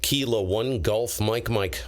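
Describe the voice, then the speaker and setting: A man's voice: on the low side, slow, a crowd in the background. A man running a ham radio livestream, mixing casual chat with radio calls. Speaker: amateur radio operator live-streaming a contest. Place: cold basement radio room.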